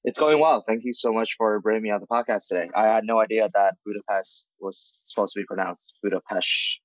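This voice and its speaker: A man in his late twenties from China, a guest entrepreneur telling his story in relaxed, candid casual chat. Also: on the low side, fairly quick, no echo, low-quality recording.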